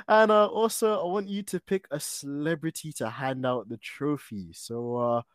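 A man's voice: a little high, a little slow, clean tone, no echo, clean studio sound. A man hosting a podcast interview, upbeat, prompting his guest with questions and easy laughs. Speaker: podcast host.

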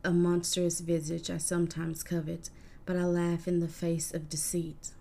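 A woman voicing a proud, defiant cursed gorgon woman in a hushed, breathy recitation. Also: lowish voice, level tone, moderate pace.

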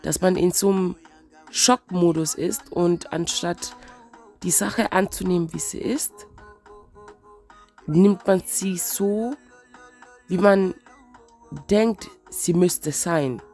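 A woman with a cold talks slowly in a casual spiritual vlog, her voice stuffy and wheezing. Her tone sits a little low and turns gravelly and fried, as she searches for words in an unhurried, reflective way. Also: darkish tone, faint music behind. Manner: slow, searching, reflective musing. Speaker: vlogger.

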